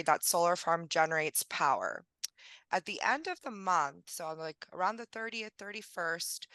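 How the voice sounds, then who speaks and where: Lady on a video call webinar, bit gravelly from a cold, bright and crisp, kinda low-ish voice. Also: no room sound. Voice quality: slightly gravelly. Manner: friendly, explanatory, frequent ums. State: getting over a cold. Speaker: billing presenter for a solar company. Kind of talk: webinar presentation.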